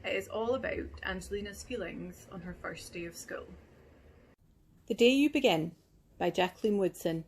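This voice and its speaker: A woman reading a picture book aloud in a gentle, measured, expressive storytelling manner, like a teacher at storytime. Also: mid-range voice, a little hiss or hum.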